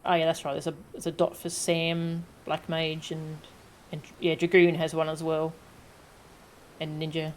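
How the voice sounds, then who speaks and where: A woman's voice: low voice, completely flat delivery, a little slow, a little hiss or hum. A woman giving casual live commentary as she streams a video game, chatting with viewers.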